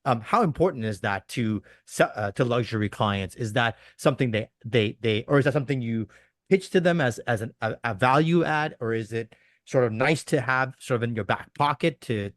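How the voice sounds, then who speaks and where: A man's voice: medium pitch, a little fast, dry recording, clean studio sound. A man from Toronto asks a question on a video call. He rambles, with many fillers and restarts. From Toronto, Canada. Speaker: participant, real estate agent.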